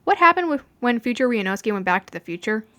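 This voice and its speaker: A woman's voice: a little high, sing-song, quick pace, a bit bright. A woman voicing a reserved young female assassin, polite and a little hesitant, in a fan story. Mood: curious.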